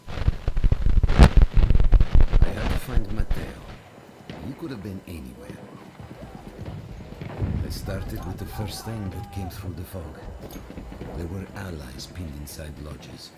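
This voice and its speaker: Very deep, grave old Italian war veteran. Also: male voice, slow pace, pauses often, heavy background noise. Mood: grave, urgent. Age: older. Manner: grave, reflective storytelling. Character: haunted old Italian war veteran recounting his lost brother. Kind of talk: dramatic reminiscing narration in a war game. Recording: loud music and battle ambience behind. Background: music, war ambience, vehicles.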